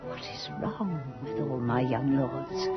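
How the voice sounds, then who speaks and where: Proud aging lady of the manor, theatrical, unhurried delivery, muffled vintage radio sound with prominent music. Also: very deep voice, animated, heavily acted. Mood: agitated, critical. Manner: grand, theatrical, formal diction. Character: proud, imperious aging noblewoman hosting her last ball. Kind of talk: old-time radio drama acting. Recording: dull old radio recording under music.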